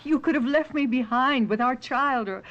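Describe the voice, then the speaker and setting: An actress playing a long-suffering, tender wife in an old-time radio drama, earnest and emotional. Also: female voice, sits high, normal speed, a bit bright. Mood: bitter. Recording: muffled vintage radio drama recording.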